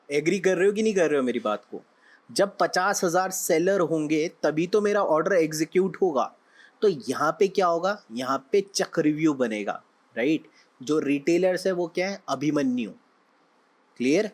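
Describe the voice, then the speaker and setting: A young man, slightly hoarse, teaching a trading lesson in a conversational way, often checking in with his listeners. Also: fairly high pitch, animated, moderate pace. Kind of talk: explaining lesson with analogies.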